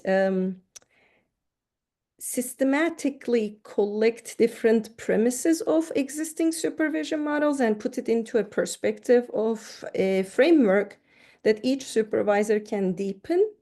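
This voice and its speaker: Gravelly, mellow-voiced woman from Turkey. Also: fairly high pitch. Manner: thoughtful, restarts words often. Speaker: counseling professor, guest. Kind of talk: interview answers.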